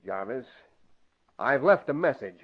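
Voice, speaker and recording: A man's voice: medium pitch, very animated, measured pace, breathy edge. An actor playing an indignant, crooked businessman who blusters defiantly in 1940s radio style. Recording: dull lo-fi vintage radio broadcast.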